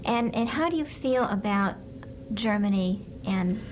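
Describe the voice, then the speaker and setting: A woman interviewer asking halting, restarting questions, speaking away from the microphone. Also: mid-range voice, animated, low-quality recording. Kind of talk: oral history interview. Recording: hissy 1980s tape recording.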